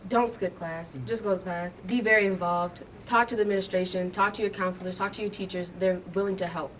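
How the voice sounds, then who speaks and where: A woman's voice: fairly low pitch, fairly even intonation, a little fast, fairly bright tone. Young woman student, earnest short sentences, mic kinda far away. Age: young adult. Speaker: graduating student. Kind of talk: interview answers. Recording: distant mic, faint noise.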